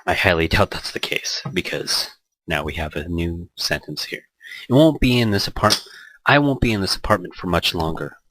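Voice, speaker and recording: A man's voice: sits low, moderate pace, background noise. A man hosting a Let's Play, chatting casually and reading visual novel text aloud in a rambling, joking way.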